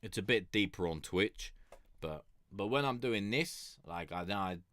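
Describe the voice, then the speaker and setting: A man's voice: lowish voice, animated, a little slow, fairly bright tone, no echo. A man streaming music reviews, chatting casually and rambling with lots of fillers, restarts and the odd swear word. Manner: consciously keeps his voice raised above its natural depth. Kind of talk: casual chat.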